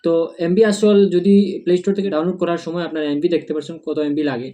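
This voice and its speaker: A man's voice: on the high side, rapid-fire, mellow. A man explains a phone app step by step in an informal, repetitive instructional way. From Barak Valley, Assam, India. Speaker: tutorial video creator. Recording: faint background noise.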